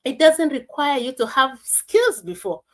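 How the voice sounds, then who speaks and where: A woman who speaks as a gives a motivational talk in an earnest, emphatic way, halting and repeating herself at times. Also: high voice, very animated.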